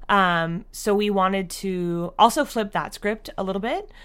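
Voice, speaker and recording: A woman's voice: medium pitch, measured pace, fairly bright tone, smooth. A woman answering as an interview guest in a conversational way, with hesitant, filler-heavy phrasing. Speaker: interview guest, audio drama creator.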